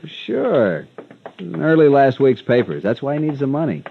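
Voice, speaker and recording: An actor playing a helpful, matter-of-fact harbor official in a 1950s radio drama, talking in terse, plain phrases. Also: male voice, mid-range voice, big pitch swings, moderate pace, vocal fry. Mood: obliging. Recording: muffled, slightly noisy vintage radio.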